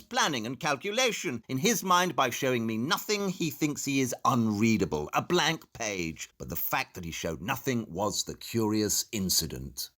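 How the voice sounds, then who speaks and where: A man's voice: a little high, fairly bright tone. An actor playing an obsessed, brusque male detective in an audio play, imperious, clipped and insistent.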